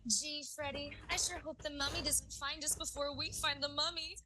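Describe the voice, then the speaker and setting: A woman's voice: sits high, steady tone, normal speed, sharp tone, faint music behind. An actress playing an overly enthusiastic, sweet teen girl in a cartoon-parody audio drama. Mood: gushing, sweet. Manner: gushing, exaggerated.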